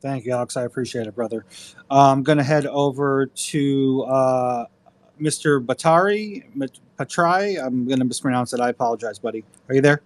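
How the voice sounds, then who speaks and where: Man, co-host, friendly, apologetic, quick asides, moderating. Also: medium pitch. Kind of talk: moderating speakers.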